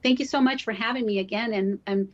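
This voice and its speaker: A woman from Guatemala and Miami giving measured, deliberate, explanatory answers as a guest in a broadcast interview, often checking in as she goes. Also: a little high, lo-fi sound. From born in Guatemala, raised in Miami, Florida. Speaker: university diversity officer, interview guest.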